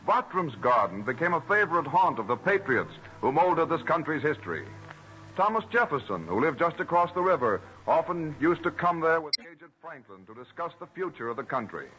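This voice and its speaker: A man's voice: fairly high pitch, big pitch swings, brisk, pushed and bright. A man reading scripted narration in a formal, declamatory old-time radio style. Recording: muffled old radio recording. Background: music.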